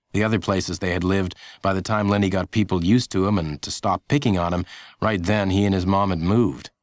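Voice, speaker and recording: A man reading a children's audiobook as scripted narration, in a measured, dramatic storytelling manner. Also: low-pitched, no echo, studio quality.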